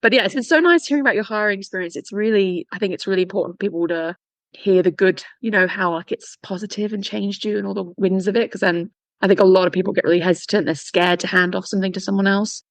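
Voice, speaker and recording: Woman, podcast host, rapid casual chat, frequent laughs, dry sound. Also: medium pitch, no room sound. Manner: chatty, laughs often, quick restarts.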